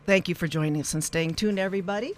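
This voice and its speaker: A woman hosting a live radio interview show, with a wordy, halting delivery that wanders into asides. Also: lowish voice.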